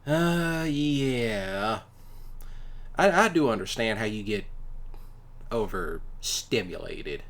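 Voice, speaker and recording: A man improvising a casual boyfriend roleplay, talking in a folksy, halting way with drawn-out pauses. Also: lowish voice, animated, a bit bright. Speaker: ASMR roleplay performer.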